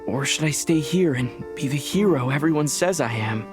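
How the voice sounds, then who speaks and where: A man voices a young wandering hero from a fantasy game, earnest and wistful at heart. His voice is on the high side for a man and sincere, with music clearly playing beneath. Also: lively intonation, moderate pace, lightly acted. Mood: tender, wistful. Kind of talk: video game voice acting.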